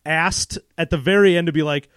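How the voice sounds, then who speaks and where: A man's voice: a little high, fairly even intonation, a little fast, fairly bright tone, clean tone, dry recording, studio quality. A man hosting a casual movie review podcast with a sarcastic manner. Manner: sarcastic, runs the segments. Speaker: podcast host.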